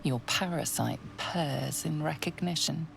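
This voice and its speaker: A woman acting as a grave, ominous storyteller, deliberately narrating a dark fantasy game. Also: deep voice, creaky, full character voice, rough recording. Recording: music bed behind the voice.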